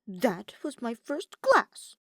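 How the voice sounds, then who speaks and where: A woman's voice: on the high side, huge pitch swings, slow pace, no room sound, clean studio sound, pitched above the performer's own voice, full character voice. A woman voicing a proud, earnest young nobleman student with an indignant, formal, emphatic manner in an audiobook. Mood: offended. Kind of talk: audiobook character acting.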